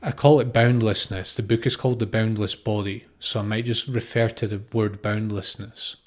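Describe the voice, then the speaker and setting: A man's voice: fairly low pitch, steady tone, normal speed. A man in his thirties from Scotland gives an unscripted, informal spiritual talk, explaining reflectively and often repeating phrases or posing questions. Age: 30s. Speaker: spiritual teacher, podcast host.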